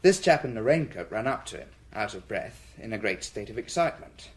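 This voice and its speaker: A British actor playing a diligent police detective reporting briskly to his chief in a radio drama. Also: lowish voice, big pitch swings. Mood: matter-of-fact.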